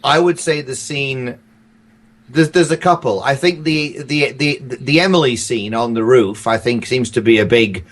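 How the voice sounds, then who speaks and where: A man's voice: mid-range voice, unhurried. A man chatting as a podcast guest, thoughtful and hesitant, often circling back over his phrases. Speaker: audio drama creator, podcast guest. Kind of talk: casual interview chat.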